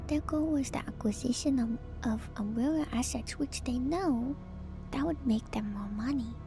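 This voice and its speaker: A woman streamer doing a whispered ASMR reading with casual commentary, musing in a hushed, drawn-out way. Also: high-pitched. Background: background music.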